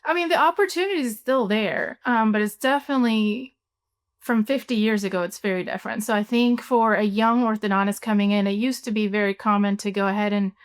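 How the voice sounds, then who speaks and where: A young adult woman from Cuba, an orthodontist, answering interview questions in a relaxed way with many fillers. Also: mid-range voice, fairly quick, smooth. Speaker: orthodontist guest.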